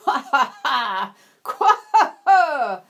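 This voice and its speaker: A loud laughing frog. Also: female voice, medium pitch, slow pace, slightly rough. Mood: mocking. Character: fat green frog, loud and mocking.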